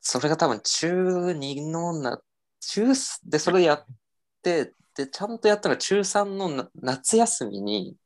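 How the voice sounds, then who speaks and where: A man's voice: a little high, animated, a little slow. A man in his twenties telling stories as a podcast guest, rambling and correcting himself in casual chat. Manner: rambling storytelling with self-corrections and laughs.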